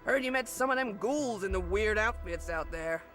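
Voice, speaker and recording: A man's voice: high voice, animated, moderate pace, bright, forceful tone, faint music behind. A man voicing a folksy, colloquial survivor handing out advice in a video game. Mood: cautioning. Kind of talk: video game character acting.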